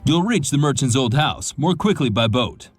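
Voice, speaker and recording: A man's voice: mid-range voice, animated, normal speed, music in the background, natural voice. An actor playing an old, slightly tipsy sea captain in a game cutscene, telling his story with a drawl. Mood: gruff.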